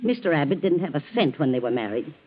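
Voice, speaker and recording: A woman playing an excitable, defensive, sharp-tongued housekeeper in 1940s radio acting, emphatic and easily flustered. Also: low-pitched, fast, slightly rough, heavily acted. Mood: gossipy, bitter. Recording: muffled vintage 1940s radio recording.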